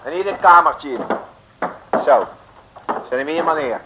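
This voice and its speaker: An actor playing a chatty, slangy jailbird in a 1960s radio serial. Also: male voice, on the high side, animated, measured pace. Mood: offhand. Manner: casual, slangy, colloquial. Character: chatty, rough-and-ready male jailbird. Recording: dull, faintly noisy old radio recording.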